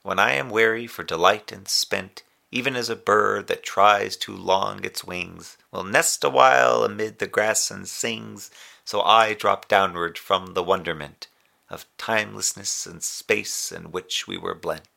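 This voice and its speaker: Low gravelly male voice, bright edge, weary and wry moonbase communications officer, sci-fi audio drama, measured report style. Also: fairly even intonation, heavily acted. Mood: tender, wistful. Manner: formal reporting with dry sarcasm and tenderness.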